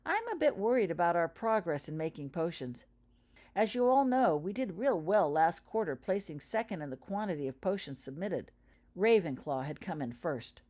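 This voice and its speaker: A woman's voice: fairly low pitch, big pitch swings, moderate pace, a little mellow. A woman voicing an earnest, organised schoolgirl who leads her group in a businesslike, rallying way, in a storybook reading. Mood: concerned.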